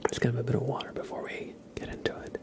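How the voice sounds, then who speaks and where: A man whispering softly and breathily as an ASMR livestream host, chatting informally and directly to his viewers. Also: very fast. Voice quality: soft, breathy whisper with some creak.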